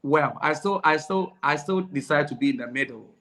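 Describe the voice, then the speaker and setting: A man hosts a relaxed interview, with a voice pitched a bit high for a man. He talks casually and reads viewer comments aloud, breaking into laughter now and then. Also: normal speed. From Ghana. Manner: chatty, laughs while reading comments. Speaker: interviewer and host.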